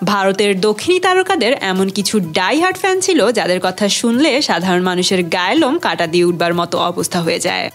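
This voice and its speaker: A woman narrating scripted celebrity gossip in a presenterly way, putting rhetorical questions to viewers. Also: mid-range voice. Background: music.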